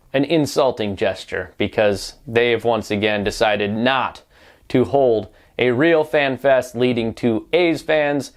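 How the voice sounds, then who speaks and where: A man delivering opinionated sports news commentary as a reporter, wry and pointed, often posing rhetorical questions. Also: medium pitch.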